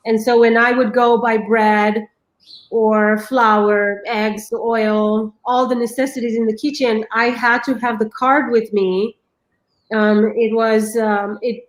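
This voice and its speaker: High-voiced Romanian woman telling her story. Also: roomy sound, low-quality recording. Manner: earnest, halting, many restarts. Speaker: guest. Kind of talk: personal storytelling.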